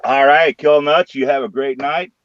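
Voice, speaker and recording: A man with a St. Louis accent hosting a late-night live stream from his room, joking loudly and swearing freely. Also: medium pitch, steady tone, normal speed, no room sound, dull audio. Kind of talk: casual live stream chat.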